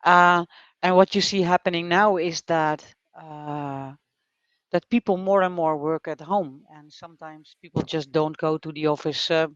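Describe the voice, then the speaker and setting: A podcast co-host talking casually, with halting phrasing, fillers and repeated words. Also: medium pitch, fairly even intonation, a bit bright, clear, resonant tone, dry recording, muffled recording. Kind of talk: casual conversation.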